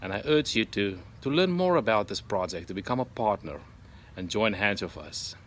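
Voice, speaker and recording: A man's voice: on the low side, animated, moderate pace, a little hiss or hum. Enthusiastic man. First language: Afrikaans. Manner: warm, urging and enthusiastic. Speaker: radio listener giving a testimonial. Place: home in Arizona.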